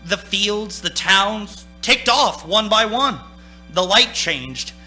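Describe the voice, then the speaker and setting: A man's voice: high-pitched, animated, slow pace, sharp tone, exaggerated voice. An actor playing a haunted, anxious young man in a radio play, dramatic and nervously stammering. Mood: haunted, brooding. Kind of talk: radio play acting, narrating and in scenes. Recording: stage radio play with music.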